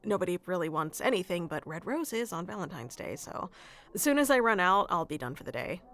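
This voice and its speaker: A woman's voice: fairly low pitch, very animated, fairly quick. Woman acting a sweet, flustered young florist. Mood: friendly, teasing. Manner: hesitant, soft-hearted, breaking into nervous chuckles and sighs. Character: flustered, sweet florist woman secretly in love with best friend. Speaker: audio roleplay performer. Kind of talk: intimate ASMR roleplay acting.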